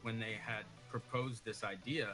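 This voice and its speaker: A man from the United States, speaking excitedly and directly in interview soundbites. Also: on the low side, normal speed. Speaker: map-building team member. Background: music.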